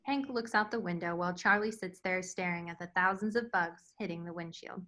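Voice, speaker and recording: A woman's voice: lowish voice, fairly quick, bright, forceful tone. A woman reading stage directions as scripted narration for a screenplay table read. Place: remote virtual table read.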